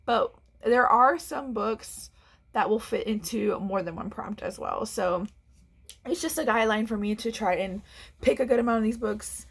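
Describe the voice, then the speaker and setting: A woman's voice: a little high, normal speed, vocal fry, husky. Chatty congested young woman. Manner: chatty, rambling with restarts and "like". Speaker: book vlogger. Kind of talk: casual chat to camera. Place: home room.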